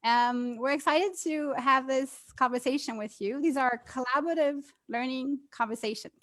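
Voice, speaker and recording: A woman's voice: high voice, moderate pace, a bit bright. A woman co-hosting an interview, encouraging her guest and using some fillers. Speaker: interview co-host.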